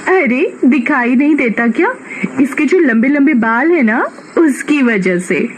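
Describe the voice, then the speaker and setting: Man voicing a very high, catty village girl. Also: animated, unhurried, a little hiss or hum, low-quality recording. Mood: mocking. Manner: taunting, pushy. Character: mocking village girl, catty teenage bully.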